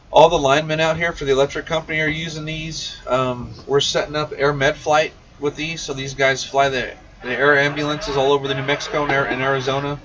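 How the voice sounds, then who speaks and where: A man's voice: medium pitch, fairly bright tone. A muffled livestream recording picks up a man a little way from the mic, with faint noise around him. He hosts casually, answering viewers directly in a folksy, slangy manner. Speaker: host of a CB radio shop live stream. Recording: muffled, noisy, distant-mic livestream audio.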